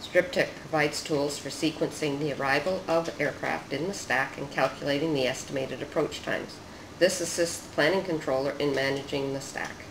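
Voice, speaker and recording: A narrator reading a scripted research demonstration in a measured, explanatory way. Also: medium pitch, fairly even intonation, gravelly. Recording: roomy echo with noticeable background noise.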